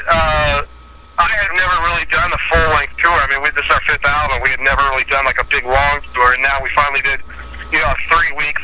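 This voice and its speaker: A man who sings in a metal band, talking casually in an interview and rambling with many "you know"s and "like"s. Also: fairly high pitch. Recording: loud background noise.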